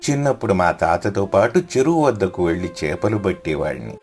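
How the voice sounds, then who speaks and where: A man's voice: low-pitched, animated, a little fast, darkish tone, faint music behind. A man voicing a poor, devout villager in a moral story, earnest and humble. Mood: hopeful. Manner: earnest, pleading.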